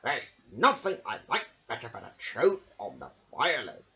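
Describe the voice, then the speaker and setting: Man doing a friendly whiskery tramp, cheery, a bit drawn-out, dull lo-fi sound. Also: medium pitch, huge pitch swings, creaky, bright, forceful tone, pitched below the performer's own voice, exaggerated voice.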